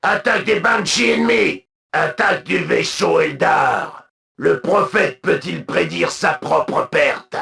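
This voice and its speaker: An actor voicing a proud, zealous alien military commander for a video game, with a gravelly voice and solemn, imperious, clipped delivery. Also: male voice, on the high side, bright, forceful tone, exaggerated voice. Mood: urgent, battle-ready, then stern, contemptuous. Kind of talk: video game unit voice lines, declamatory.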